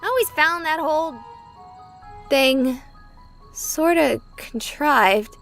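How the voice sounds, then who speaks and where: A woman's voice: high voice, takes it slowly, fairly bright tone, faint music behind, full character voice. An actress voicing a skeptical, exasperated young female game streamer in a visual novel. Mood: exasperated, brisk.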